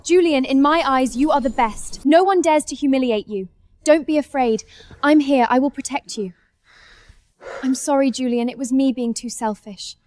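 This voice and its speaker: A woman voicing a bubbly, pushy young woman who teases and dotes on a sulky young man in a dubbed animated drama. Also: high-pitched, normal speed, dry recording, close to an everyday voice. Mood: soothing. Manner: sing-song, teasing and exuberant.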